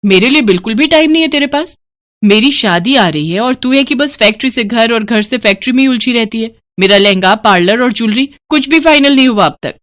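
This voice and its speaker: A woman's voice: on the high side, expressive, fairly quick, a bit bright, pitched above the performer's own voice. A woman voicing a cheerful, teasing and caring young woman in a cartoon story, chatty and affectionate. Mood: mock scolding. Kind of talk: Hindi cartoon story dialogue.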